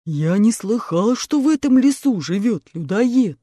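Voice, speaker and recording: Storybook tin man, woman acting it, earnest and unhurried, warm dark tone, dry close mic. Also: a little high, expressive, no echo. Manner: slow, earnest, soft-spoken. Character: gentle tearful male tin woodman.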